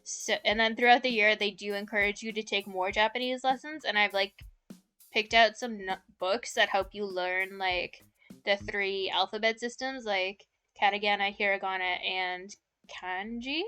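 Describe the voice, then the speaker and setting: A young woman streamer chatting casually with her viewers, relaxed and giggly, practicing halting Spanish. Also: medium pitch, smooth, soft background music.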